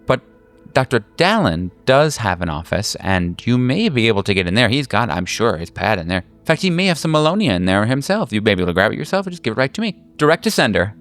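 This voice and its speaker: A man's voice: mid-range voice, very animated, quick pace, fairly bright tone. Man voicing a flighty, snippy woman patient. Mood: eager, rambling. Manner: rambling, hesitant, insistent.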